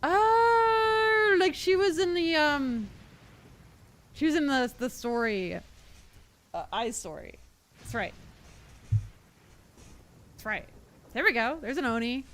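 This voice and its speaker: A woman streaming a game, chatting casually with her viewers in a rambling, expressive way. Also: sits high, takes it slowly, pauses often.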